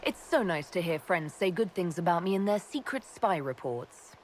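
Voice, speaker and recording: A woman plays an elf mage commanding a fantasy army in a video game. Her voice is on the low side, composed and assured, with faint noise behind it. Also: expressive, moderate pace, natural voice. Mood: dry.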